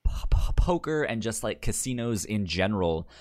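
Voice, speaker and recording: A man co-hosting a casual film discussion podcast, speaking with a pronounced stutter that repeats sounds and syllables in a halting delivery. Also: lowish voice, lively intonation, a little mellow. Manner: halting, hesitant delivery with frequent restarts. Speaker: podcast co-host.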